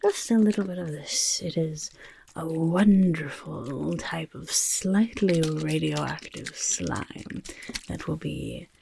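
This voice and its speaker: A woman voicing a chaotic, eager little goblin overlord in a soft-spoken ASMR roleplay, with a creaky, raspy whisper and a fussy, repetitive manner. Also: low voice, a little hiss or hum, exaggerated voice. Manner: speaks of self in third person, fussy, repeats words.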